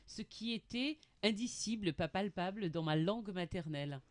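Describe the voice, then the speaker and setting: A radio interviewer with a voice of middle pitch, asking curious, searching questions. The delivery stumbles and restarts a little while framing each question. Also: lively intonation, moderate pace. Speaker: radio host.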